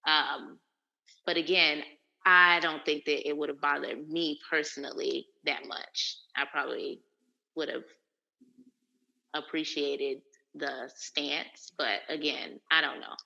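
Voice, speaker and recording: A woman co-hosting a podcast, talking in a measured, thoughtful, hedging way. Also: deep voice, fairly even intonation, vocal fry, sharp tone, muffled recording. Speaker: podcast co-host. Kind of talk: casual chat.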